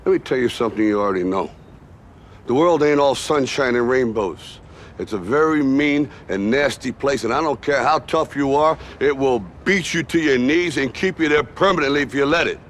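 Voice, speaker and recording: A man's voice: medium pitch, normal speed, close to an everyday voice. Film drama: male actor as an older, devoted, plain-talking working-class dad, earnest tough love, slight noise behind. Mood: earnest, tender. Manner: plain working-class speech with dropped grammar. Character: ageing, plain-spoken, devoted father giving his son tough love. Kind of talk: naturalistic film drama monologue. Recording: film audio with faint background noise.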